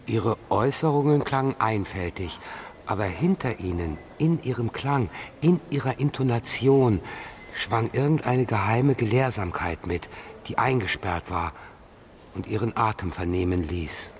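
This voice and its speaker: Low-voiced, measured, eloquent witness. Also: male voice, slight background noise. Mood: reflective, grave. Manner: measured, eloquent, careful phrasing. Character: perceptive blind male witness, measured and eloquent. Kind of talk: radio crime drama dialogue.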